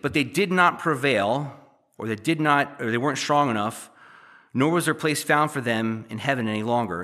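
A man with a bright, forceful tone delivers a sermon, lifting his voice to read scripture and then dropping into plain, conversational explanation. His voice is slightly hoarse and worn from use. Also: medium pitch. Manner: reads verses louder, then explains conversationally. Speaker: pastor.

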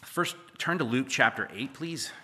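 Hoarse preacher in an echoey hall. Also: male voice, medium pitch, animated, measured pace, sharp tone. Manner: conversational, with jokey asides and frequent "okay?" and "right?". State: hoarse from losing his voice. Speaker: pastor. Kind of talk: preaching a sermon with Bible readings.

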